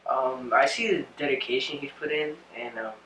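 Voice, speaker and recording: Halting male actor, noisy roomy sound. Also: mid-range voice, recorded from a distance. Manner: halting, many fillers. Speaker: actor in the film.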